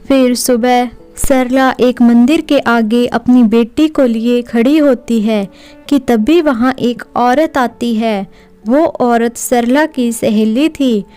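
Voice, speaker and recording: A woman narrates a cartoon story in a fairly high, smooth voice. She takes her time with each scripted sentence, with faint music behind her. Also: steady tone, slow, warm tone.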